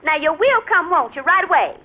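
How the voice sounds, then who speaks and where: An actress playing a fluttery, effusive society hostess who scolds playfully and coyly, in old radio drama style. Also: female voice, extremely high pitch, sing-song, a little fast. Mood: coaxing, coy. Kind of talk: 1940s radio acting. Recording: dull, faintly noisy old radio recording.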